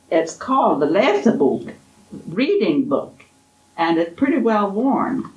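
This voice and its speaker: Woman in her 80s for an oral-history interview, gentle and fond, recorded in a roomy library. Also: on the low side. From Kansas, USA. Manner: gentle, unhurried, fond storytelling with soft laughs. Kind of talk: reminiscing interview. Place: college library. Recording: echoey library room.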